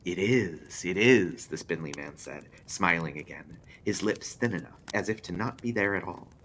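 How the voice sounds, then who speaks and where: A man reading a creepy story aloud as an expressive scripted narration. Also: low-pitched, moderate pace, slight background noise.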